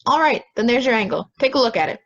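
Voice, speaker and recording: A woman's voice: a little high, animated, fast talker. A woman playing a stubborn, impatient juror, curt and dismissive, in an amateur audio drama recorded lo-fi.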